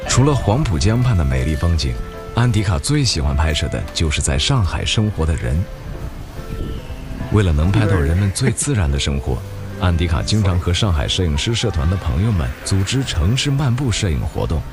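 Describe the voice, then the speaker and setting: Male narrator for a news feature, low voice with a lively, rolling pitch, measured reading over background music. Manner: measured, even-paced reading. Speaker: documentary narrator.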